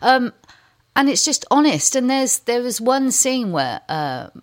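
A woman's voice: fairly high pitch, sing-song, measured pace. A woman co-hosting a casual music chat show, talking enthusiastically and rambling through stories with frequent restarts. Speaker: radio co-host.

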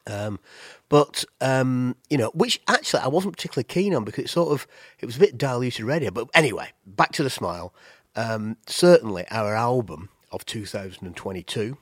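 A man's voice: mid-range voice, lively intonation, fairly quick, no room sound, clean studio sound. A man with a cold and a nasal voice, chatting casually about music as a podcast co-host, rambling with restarts. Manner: rambling, with many restarts and fillers.

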